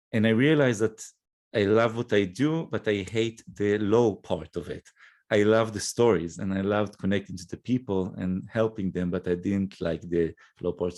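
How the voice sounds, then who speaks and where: A middle-aged Israeli man with an Israeli accent, a guest in an interview who speaks English as a second language and often pauses, restarts and says "uh". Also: sits low, creaky, darkish tone. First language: Hebrew. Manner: halting, many fillers and restarts. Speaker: guest, nonprofit CEO. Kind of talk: conversational interview.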